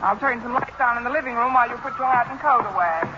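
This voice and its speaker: An actress playing a quick-witted, wry woman who talks briskly and expressively in a 1940s radio sitcom. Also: fairly high pitch, loud music behind the voice, natural voice. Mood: pleasant. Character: quick-witted, wry adult woman schoolteacher, cool under pressure. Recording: muffled, very noisy 1940s radio recording.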